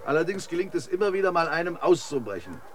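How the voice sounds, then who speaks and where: Radio play role: seasoned, sardonic guide, knowing and laconic, very varied intonation, clear noise behind. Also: male voice, fairly high pitch, very animated, fast talker. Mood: sardonic, unruffled. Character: cynical, seasoned male guide, slingshot-proud street survivor. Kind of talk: German radio play acting.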